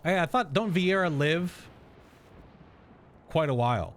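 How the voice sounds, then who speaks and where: A man's voice: on the high side, animated, measured pace. A man giving casual live reaction commentary as a game streamer, rambling and thinking aloud. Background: game music.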